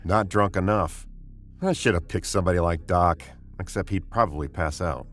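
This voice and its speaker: An actor playing a steady, authoritative, plain-spoken spaceship commander in a 1950s science fiction radio drama. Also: male voice, deep voice, normal speed, faint music behind, natural voice. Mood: grave. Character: steady, decisive male commander of a spaceship expedition.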